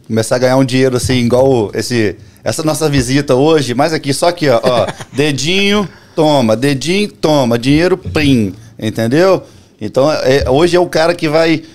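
Excitable joking man co-hosting a podcast. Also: mid-range voice. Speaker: podcast co-host. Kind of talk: casual podcast chat.

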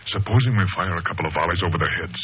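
Deep-voiced, steady radio hero captain. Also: male voice, very fast. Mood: grave, decisive. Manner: grave, decisive. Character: steady heroic captain commanding his young squadron. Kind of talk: 1940s radio serial acting. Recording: tinny, hissy old radio broadcast.

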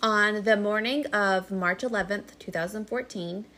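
A woman's voice: mid-range voice, measured pace, sharp tone. A woman co-hosting a casual podcast, sounding nasal and congested from a sinus infection, chatting with many fillers before telling a true crime story. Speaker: podcast co-host. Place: home studio in a living room.

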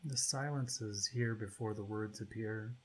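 A man leading a guided meditation as its guide, speaking in short, spacious phrases with long pauses between them. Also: fairly low pitch, husky, rough recording.

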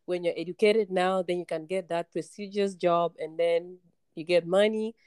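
A woman's voice: lowish voice, steady tone, normal speed, darkish tone, clean tone, no room sound. Woman from kenya guesting on a podcast, friendly, explains plainly, dry close sound. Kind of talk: casual chat.

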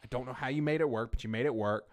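A man's voice: medium pitch, very fast. A man from Louisiana with a Southern American accent, chatting casually as a podcast host. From Louisiana, United States. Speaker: podcast host, gym owner. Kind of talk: casual chat.

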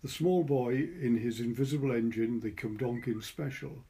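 Mellow Welsh man, measured storyteller. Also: medium pitch. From Wales. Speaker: curator and story reader. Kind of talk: informal introduction and literary story reading.

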